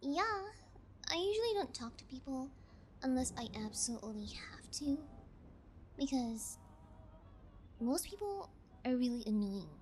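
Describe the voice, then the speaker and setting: Woman acting a slow, high-voiced sadistic kidnapper. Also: darkish tone, slight background noise. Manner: teasing, sing-song menace with drops into whispers. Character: sadistic, playful female yangire kidnapper, sociopath. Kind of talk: ASMR audio roleplay, intimate monologue.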